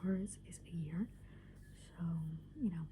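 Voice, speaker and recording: A woman in her twenties whispering casual, meandering ASMR thoughts in a hushed, unvoiced voice. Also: lowish voice, measured pace. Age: 20s. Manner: casual, meandering, thinking aloud. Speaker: ASMR creator. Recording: clearly noisy background hiss and ambience.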